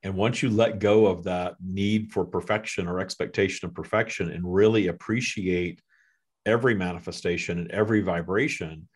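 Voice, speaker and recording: A man hosting a podcast, talking with a guest in a relaxed, halting way. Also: sits low, slightly rough. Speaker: podcast host. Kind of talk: casual conversation.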